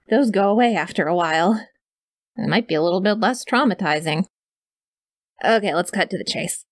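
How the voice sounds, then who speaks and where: A sweet young yandere kidnapper, acted by a woman in a comedic audio roleplay. She rambles awkwardly and insists on her innocence in a medium-pitched voice, recorded dry and close to the mic. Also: no room sound, close to an everyday voice. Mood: sweetly reassuring. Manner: chatty, rambling, flustered self-denials, hesitant filler words. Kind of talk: ASMR roleplay, one-sided comedic monologue to the listener. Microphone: close studio mic, dry.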